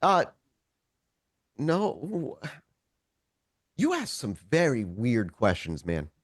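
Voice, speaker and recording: A man playing a nervous, well-meaning ordinary human in a tabletop game, talking casually in a halting, stammering way. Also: medium pitch, wildly swooping pitch, breathy edge, no echo, clean studio sound, full character voice. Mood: awkward, explaining.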